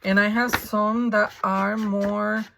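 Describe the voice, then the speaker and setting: A woman with a raspy, hoarse voice from a coming flu, chatting her way through a crafting tutorial and thinking aloud as she works. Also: mid-range voice, level tone, slow, background noise. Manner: chatty, thinking aloud while working, frequent ums. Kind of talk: casual step-by-step crafting tutorial.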